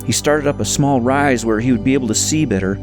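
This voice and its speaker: A man narrating a story from a script in an expressive, suspenseful, dramatic way. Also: mid-range voice, fast talker, music in the background. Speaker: audiobook narrator reading own novel.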